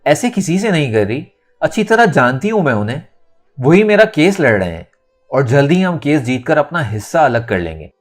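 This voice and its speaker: A man narrator plays a determined young woman in an audio novel. She is bold and insistent, with animated, swinging pitch. Faint music sits underneath. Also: normal speed. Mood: defiant.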